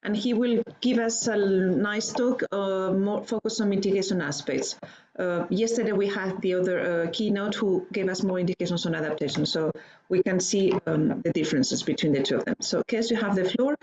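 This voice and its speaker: A woman's voice: mid-range voice, darkish tone, muffled recording, slight background noise. Woman moderating an online session. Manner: brisk, repeats "okay". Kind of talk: moderating and introducing a speaker.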